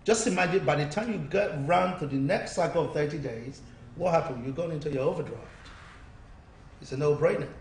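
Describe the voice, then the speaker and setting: Male business coach guest, persuasive and repetitive, slightly high voice, distant mic with faint noise. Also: fairly quick, echoey room. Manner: persuasive, repetitive pitch-style delivery. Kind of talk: interview answers.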